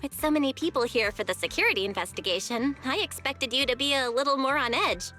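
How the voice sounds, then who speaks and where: A woman's voice: sits high, fast, pushed and bright, faint music behind. A woman playing a poised, inquisitive young investigator in a video game, speaking in a composed, curious way. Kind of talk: video game story dialogue acting.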